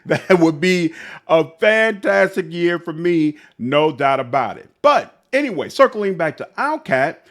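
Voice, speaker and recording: A man's voice: fairly high pitch, lively intonation, a little slow, a bit bright. A man speaking as a gaming channel host, giving enthusiastic, opinionated commentary straight to his viewers.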